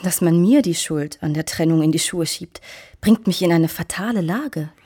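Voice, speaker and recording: A woman playing a seductive, scheming mistress in a radio drama, with a coaxing, theatrical delivery that often turns breathy. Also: lowish voice, normal speed, mellow. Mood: coaxing, wheedling. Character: seductive, scheming married mistress, flattering and calculating. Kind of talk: German radio play acting.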